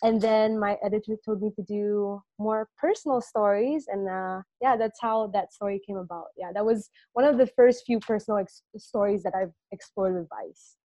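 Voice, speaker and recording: A young woman from Singapore, a student intern journalist, chatting casually in an interview, full of "like" and trailing off softly at the ends of phrases. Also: mid-range voice, fairly even intonation, moderate pace, few pauses, no echo, poor audio. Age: young adult.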